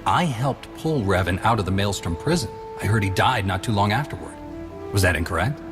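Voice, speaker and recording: Low, resolute hero played by a man. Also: lively intonation, a little fast, close to an everyday voice. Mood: serious. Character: stern, resolute heroic male adventurer. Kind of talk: video game voice acting. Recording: loud game music underneath. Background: music.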